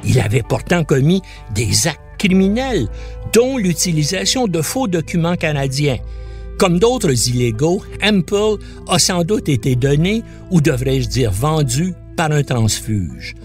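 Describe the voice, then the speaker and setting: A man's voice: on the high side, big pitch swings, unhurried, faint music behind. A man narrating a scripted documentary as a podcast host, in a dramatic storytelling manner.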